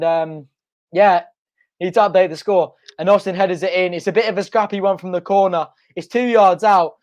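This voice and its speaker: A young man hosting a live football watch-along from home, excitable and shouting, his voice going hoarse. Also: high-pitched. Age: young adult. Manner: excitable, shouting and chanting at goals. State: losing his voice. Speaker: fan channel host. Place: home front room.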